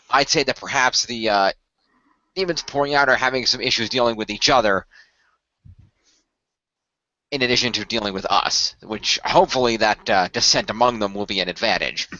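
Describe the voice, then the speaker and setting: A man playing a measured, formal leader of a hero team in an amateur radio play, wordy and hesitant. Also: mid-range voice, sharp tone, no room sound, dull audio, lightly acted. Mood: grave, measured. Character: measured, formal male team leader briefing young demon-fighting heroes.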